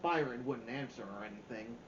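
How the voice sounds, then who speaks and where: A man's voice: medium pitch, a little fast. A man reading a children's novel aloud as a storyteller. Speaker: story-time reader. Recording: roomy, dull, faint background noise.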